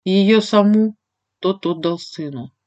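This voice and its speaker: A woman's voice: mid-range voice, slow, a little mellow, no echo, muffled recording. Woman narrating an audiobook, close dry sound. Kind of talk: scripted fiction narration, first-person female storyteller.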